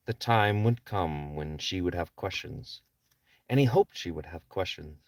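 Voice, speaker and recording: A man's voice: fairly low pitch, darkish tone, no room sound. A man reading his own novel aloud as a scripted audiobook narration. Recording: distant microphone.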